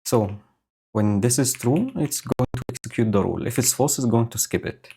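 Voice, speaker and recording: A man streaming live, explaining his code slowly and thinking aloud with many fillers. He has a low voice and is speaking into a home microphone setup with a noise gate. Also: dark tone. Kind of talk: casual live-coding commentary. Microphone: new home audio setup with noise gate.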